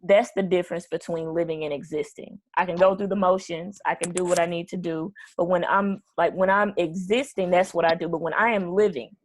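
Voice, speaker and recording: A woman's voice: lowish voice, steady tone, fairly quick, hardly any pauses, no room sound. A woman giving an earnest, explanatory impromptu speech as toastmaster of the meeting.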